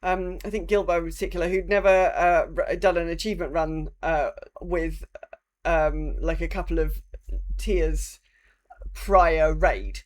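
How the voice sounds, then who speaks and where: A woman's voice: on the low side, steady tone, unhurried, a little mellow. Informal, sweary woman chatting about games. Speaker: podcast co-host. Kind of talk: casual gaming chat.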